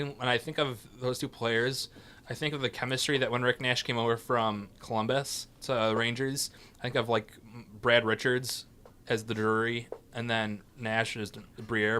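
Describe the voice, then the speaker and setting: A man's voice: medium pitch, pushed and bright. A man from Western New York co-hosting a casual sports podcast, explaining and comparing players at length. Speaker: podcast co-host.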